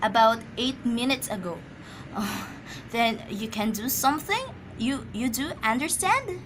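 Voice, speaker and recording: A woman's voice: a little high, expressive, measured pace, background noise. A woman acting an anxious, imperious, easily flustered wife in an amateur radio drama, agitated and stammering.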